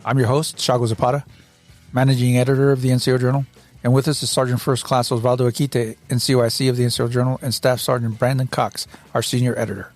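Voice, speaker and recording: A man hosting a podcast, opening with a scripted introduction and then asking questions in a measured way, with a few restarts. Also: mid-range voice, level tone, a little mellow, soft background music. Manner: measured, with some restarts when unscripted. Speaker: podcast host, managing editor.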